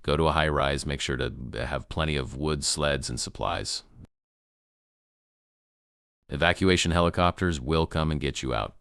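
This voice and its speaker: A man playing a grave emergency news broadcaster in an amateur apocalypse broadcast, gravelly, solemn and halting in his delivery. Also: very deep voice, a bit bright, no room sound, studio quality, full character voice. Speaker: news anchor.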